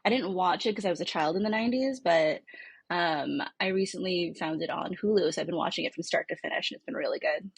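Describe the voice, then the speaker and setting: Husky woman, casual podcast guest. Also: fairly low pitch, fast, no echo. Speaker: podcast guest, comedy writer.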